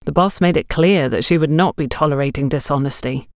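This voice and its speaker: A woman's voice: low-pitched, fairly quick, dry recording, studio quality. A woman voicing a knowing female store colleague in an audiobook, gossiping and giving cautionary hints. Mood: confiding. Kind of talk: audiobook character dialogue.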